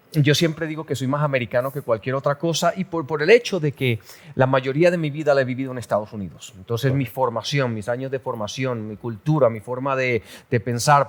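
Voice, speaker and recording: A middle-aged man from the Canary Islands, a pastor telling his life story in a relaxed interview, talking with many restarts and little jokes. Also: mid-range voice, brisk. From Canary Islands, Spain. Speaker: pastor and guest. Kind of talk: casual testimony interview.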